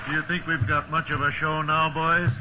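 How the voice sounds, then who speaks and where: A man's voice: a little high, little pitch movement, normal speed, lightly acted. An actor playing a shipwrecked sea captain, steadying and fatherly to his crew, in a 1950s radio drama. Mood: sober, reassuring. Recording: muffled old radio, loud water noise.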